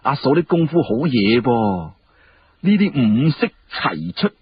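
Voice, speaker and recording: A man's voice: medium pitch, sing-song, unhurried, muffled recording, a little hiss or hum. A man voicing a brash, boastful younger nobleman in a Cantonese story, exclamatory and slangy. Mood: smitten, flattering.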